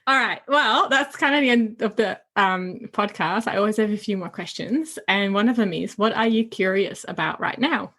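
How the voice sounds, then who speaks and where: A woman hosting a casual podcast interview, speaking English as a second language, enthusiastic and quick to laugh. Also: medium pitch, fairly bright tone. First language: not English. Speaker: podcast host.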